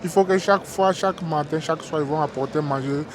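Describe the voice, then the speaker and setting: A man from Togo, interviewed outdoors, tells his story haltingly with many restarts and keeps asking "tu vois, non?". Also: fairly high pitch, crowd noise. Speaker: interview guest, migrant worker. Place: outdoors on a busy street.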